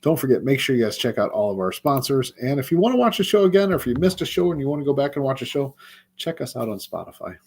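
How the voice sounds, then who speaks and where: A man with a husky voice co-hosting a casual barbecue live stream, playful and teasing in his banter and plugs. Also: mid-range voice, big pitch swings, fast, a little mellow, slight background noise. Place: video call on a live stream.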